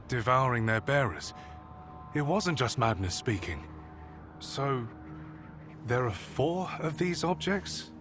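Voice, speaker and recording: An actor playing a grave, curious gentleman investigator in a video game, musing in a measured, formal way. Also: medium pitch. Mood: puzzled, deducing. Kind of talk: video game cutscene acting. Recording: music behind the voice.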